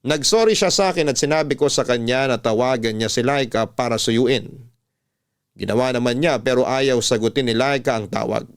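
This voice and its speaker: A man delivers scripted first-person narration in a radio drama style. His voice sits in the middle range and is very clear and resonant. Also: medium pitch, moderate pace. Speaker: storyteller, narrator.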